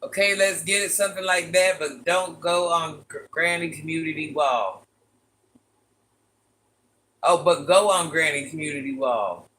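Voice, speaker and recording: An older woman with a very deep voice, hosting a casual livestream, reading viewer comments and playfully trash-talking. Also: unhurried, a bit bright, low-quality recording. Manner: playful trash talk, sassy asides. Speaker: livestream host.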